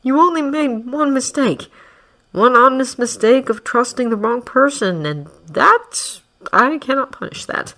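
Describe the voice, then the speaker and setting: A woman voicing a calm, manipulative man who speaks evenly and softly. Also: sits high, unhurried, voice pushed up, heavily acted. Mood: coaxing. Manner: even, soft, coaxing. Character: calm manipulative male villain, soft-spoken.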